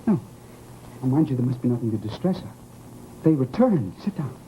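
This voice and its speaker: An actor in an old-time radio horror drama playing an unhinged, softly insistent grieving widower, halting, coaxing and pleading. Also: male voice, medium pitch, very animated, slight background noise, natural voice, poor audio. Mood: fervent, hushed.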